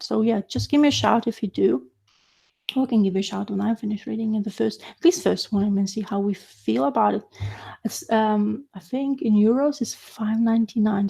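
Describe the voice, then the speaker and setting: A young adult woman whose first language is Lithuanian, chatting casually on a livestream, halting and full of fillers and restarts. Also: mid-range voice, gravelly, warm tone, breathy edge. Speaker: livestream host. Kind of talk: casual live chat about comics.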